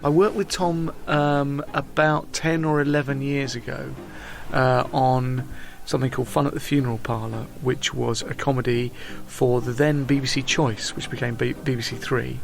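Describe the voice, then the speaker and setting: A man's voice: medium pitch, steady tone, moderate pace, gravelly, soft background music. A British man, an actor, talking casually in a behind-the-scenes interview. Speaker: guest actor.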